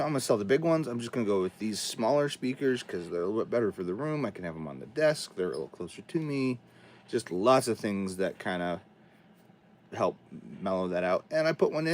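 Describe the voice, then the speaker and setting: A man with a cold and a raspy, hoarse voice, rambling casually as he hosts a livestream from his home studio. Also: lowish voice, a little hiss or hum. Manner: rambling, chatty, talks to himself while setting up. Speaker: livestream host.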